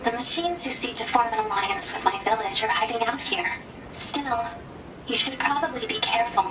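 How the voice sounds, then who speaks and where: A woman voicing a gentle, peace-loving machine in a video game, courteous and softly formal with small hesitations. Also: on the high side, moderate pace, background noise, lightly acted. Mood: careful, earnest. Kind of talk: video game cutscene acting.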